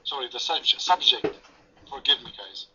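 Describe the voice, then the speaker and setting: A man's voice: medium pitch, animated, normal speed, noisy background. A man whose first language is French, moderating an online debate with sarcastic, taunting remarks. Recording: lo-fi voice chat audio.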